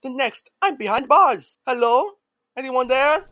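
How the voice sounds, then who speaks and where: A woman's voice: high-pitched, expressive, measured pace, fairly bright tone, slight background noise. A woman voicing a dazed, frightened male chef held captive in a cartoon fandub.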